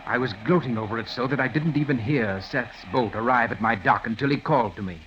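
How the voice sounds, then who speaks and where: Brief: male actor as American professor, forties, fussy and bookish, mid-pitched, secretly wound up; hissy, muffled old radio mystery recording. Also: a little fast, natural voice. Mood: excited recollection. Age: 40s. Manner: precise, bookish, with suppressed agitation. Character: fussy, secretly excitable forty-year-old Greek professor. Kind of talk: 1940s radio acting, first-person narration.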